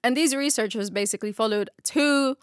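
A woman's voice: a little high, fairly quick, smooth, no room sound, clean studio sound. A woman co-hosting a casual Bible study chat, slangy and laughing often.

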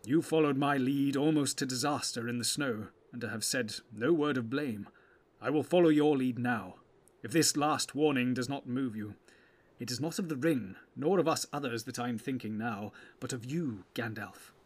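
Male reader as brooding ranger, loyal, wary, husky, heavy formal delivery, fantasy storybook. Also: medium pitch, moderate pace, natural voice. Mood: heavy, warning.

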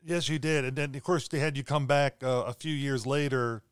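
A man's voice: mid-range voice, steady tone, moderate pace, clear, resonant tone, no echo, studio quality. A man hosting a casual interview, easygoing and laughing along with his guest. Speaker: podcast host.

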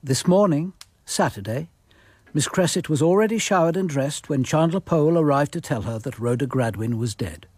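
An authoritative police commander in a radio drama. His husky voice moves with lively intonation in a very dry recording. He is measured and formal, courteous but firm. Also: a little high, no echo. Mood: matter-of-fact. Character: composed, authoritative male police commander questioning suspects. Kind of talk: radio drama acting and first-person narration.

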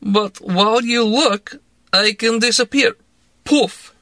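Man doing a drunken young Russian actress, Russian accent, broken English, slow and dramatic, high and bright. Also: no room sound, full character voice. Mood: teasing. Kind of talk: audiobook character voice.

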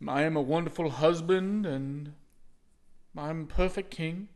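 A man voicing a self-satisfied king who boasts and soothes his wife in a low voice. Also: a little slow, darkish tone, natural voice. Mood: pompous. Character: self-satisfied king fretting over his lost daughter.

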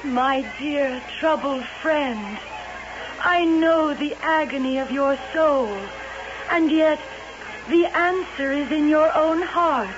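A woman voicing a mystical female fortune-telling figure who speaks slowly and solemnly in a radio drama. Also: sits high. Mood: soothing. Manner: slow, soothing, solemn. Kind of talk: 1970s radio drama acting. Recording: dull old radio broadcast with music.

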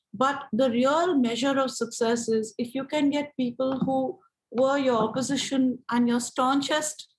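A woman with an Indian English accent speaking in a measured, explanatory way, as an activist answering interview questions. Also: on the high side, poor audio. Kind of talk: reflective interview conversation.

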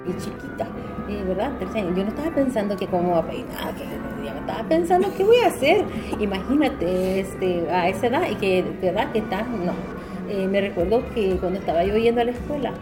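A woman's voice: mid-range voice, animated, brisk, music in the background. A middle-aged woman from El Salvador with a Salvadoran accent, a business owner answering interview questions in a loose, rambling way with many restarts.

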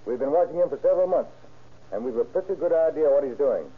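Shrewd police chief, rough-edged voice, businesslike, measured, 1930s radio drama, tinny lo-fi recording. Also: male voice, on the high side, animated. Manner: measured, matter-of-fact, authoritative. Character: shrewd, businesslike male narcotics bureau chief. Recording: husky-sounding, very narrow 1930s radio recording.

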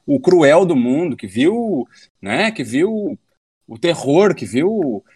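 A man's voice: a little high, very animated, slow, darkish tone, breathy edge, no echo. A man in his thirties, a podcast guest, talking at length in a rambling, philosophical way and swearing casually. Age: 30s.

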